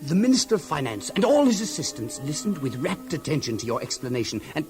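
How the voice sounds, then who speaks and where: An actor playing a wealthy, effusive master of an ancient household in a children's audio Bible drama, speaking expansively with emphatic, halting repetitions. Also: male voice, a little high, very animated, music in the background. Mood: effusive, grateful.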